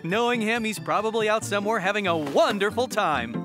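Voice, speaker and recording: A man's voice: high voice, lively intonation, fairly quick, over loud music. A man voicing a friendly, easygoing adult pet owner in a cartoon. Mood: relieved. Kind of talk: cartoon voice acting.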